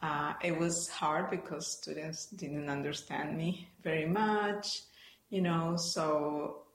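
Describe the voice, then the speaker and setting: A woman's voice: low voice, steady tone, slow pace, roomy sound. A woman in her forties from Peru, with a Peruvian Spanish accent, speaking as a professor and interview guest, thoughtful, with many fillers and restarts. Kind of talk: conversational interview.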